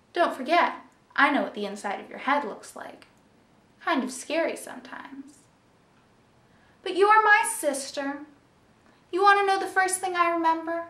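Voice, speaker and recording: A woman's voice: high voice, bright, forceful tone, recorded from a distance, full character voice. A young woman playing a wounded, jealous teenage sister in an intense, confiding stage monologue. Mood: needling. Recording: echoey room.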